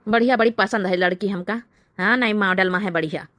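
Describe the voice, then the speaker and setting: Woman acting as cartoon village husband, sneaky, short-tempered, sharp forceful tone, Awadhi accent, brusque, dry close sound. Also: medium pitch, a little fast, no room sound, lightly acted. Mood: pleased. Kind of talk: cartoon comedy dialogue.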